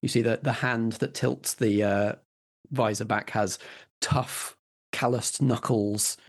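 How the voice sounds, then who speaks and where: A man's voice: fairly low pitch, steady tone, slow pace. A man narrating scenes and giving rulings as the game master of a tabletop role-playing game.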